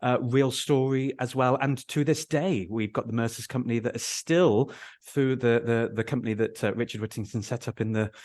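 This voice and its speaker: A man's voice: mid-range voice, fairly even intonation, normal speed, a little mellow. A man talks as a podcast guest in a middle-range voice. He explains things keenly and at length, restarting phrases as he goes in a conversational way. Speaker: podcast guest, university academic and theatre director. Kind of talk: conversational interview answers.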